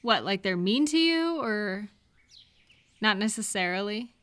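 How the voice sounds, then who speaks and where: A woman acting a caring, cheerful friend in a scripted roleplay audio, talking gently and attentively to the listener. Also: medium pitch, animated, smooth. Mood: gentle, concerned. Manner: gentle, unhurried, attentive questioning with pauses for replies.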